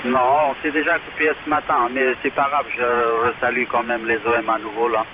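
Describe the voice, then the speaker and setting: A man's voice: medium pitch, flat delivery, a little fast. A man making casual conversation as an operator on a two-way amateur radio. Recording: muffled radio transmission with clear hiss.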